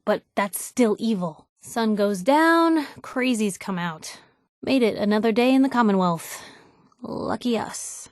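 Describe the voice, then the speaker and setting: Actress doing a plucky young reporter gal, game companion, kinda high voice, dry close sound, sardonic quips. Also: female voice, no room sound, natural voice. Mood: reflective. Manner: wry, conversational, sardonic quips. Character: wry, plucky young woman reporter companion in a post-apocalyptic wasteland. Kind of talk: scripted video game companion dialogue.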